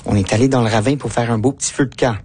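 A man's voice: mid-range voice, steady tone, racing, darkish tone, slight background noise. A man in his twenties chatting casually as a contributor on a radio show. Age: 20s. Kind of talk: casual on-air chat.

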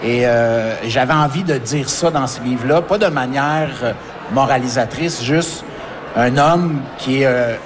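Québécois man in his fifties, hesitant interview talk, background noise clearly audible. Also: medium pitch. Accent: Québécois French. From Quebec. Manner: halting, many restarts and fillers. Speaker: author and forest firefighter. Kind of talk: radio interview.

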